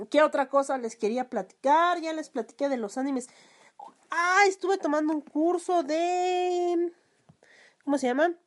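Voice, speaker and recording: A young Mexican woman with a high, bright voice rants on her solo podcast. She swears freely, talks casually and crudely, and her throat sounds sore from talking so much. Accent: Mexican Spanish. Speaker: podcast host.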